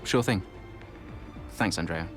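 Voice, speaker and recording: Low-voiced, doubting racing driver. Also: male voice, slow pace. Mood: resigned. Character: frustrated, doubting young male racing driver. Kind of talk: video game phone conversation. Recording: music behind the voice.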